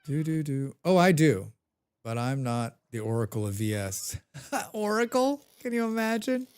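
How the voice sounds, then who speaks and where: A man's voice: fairly high pitch, sing-song, unhurried, darkish tone, smooth. A man with a head cold chatting casually while live-streaming a game, humming to himself and answering his chat.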